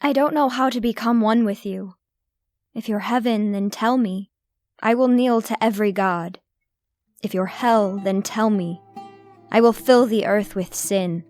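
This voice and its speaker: A woman's voice: mid-range voice, measured pace, a little mellow, soft background music. A woman performer giving a lyrical spoken-word poetry recitation.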